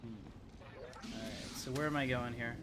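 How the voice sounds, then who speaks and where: A man's voice: mid-range voice, fairly even intonation, moderate pace, heavy background noise. A man streaming a video game, giving casual live commentary as he plays. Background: game music.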